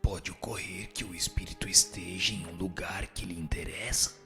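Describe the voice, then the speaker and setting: Deep, gravelly solemn spirit teacher acted by a man. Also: steady tone, normal speed, pushed and bright. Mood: solemn, instructive. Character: solemn spirit instructor answering questions patiently. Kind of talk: scripted radio drama dialogue. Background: music.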